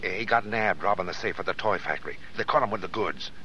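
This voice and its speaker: Sharp, pushy American small-time crook. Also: male voice, low-pitched, quick pace, a little hiss or hum. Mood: sly. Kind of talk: 1930s-40s American radio drama acting. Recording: dull old radio recording.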